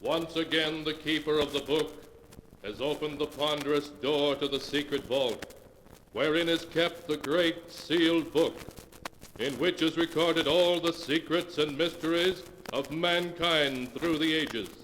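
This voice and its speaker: An actor playing an ominous storyteller, narrating a horror anthology in a portentous, theatrical 1940s radio style. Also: male voice, fairly high pitch, fairly even intonation, measured pace, a bit bright. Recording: noisy old 1940s radio recording.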